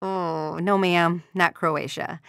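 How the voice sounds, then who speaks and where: An actress playing a courteous but dryly sardonic receptionist at a car dealership, delivering scripted video game lines. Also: female voice, fairly low pitch, steady tone, slow, vocal fry, a bit bright, clear, resonant tone, no room sound, studio quality, exaggerated voice. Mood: polite, businesslike. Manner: courteous customer-service formality with sardonic undertone. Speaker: secretary answering customer calls.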